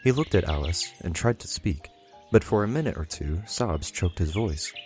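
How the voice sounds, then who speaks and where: A man's voice: sits low, expressive, normal speed, darkish tone, soft background music. A man reading scripted audiobook narration as a storyteller.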